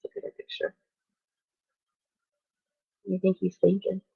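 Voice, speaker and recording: A woman teacher reading a children's story aloud on a phone livestream, expressive, with asides to the viewers. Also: medium pitch, normal speed, gravelly, slightly rough, no room sound, phone-quality sound.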